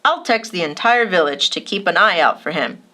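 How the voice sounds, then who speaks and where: A woman's voice: fairly low pitch, expressive, moderate pace, sharp tone, voice pushed down. A woman voicing a dependable, helpful male village mayor in a children's storybook, speaking with assurance. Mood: reassuring.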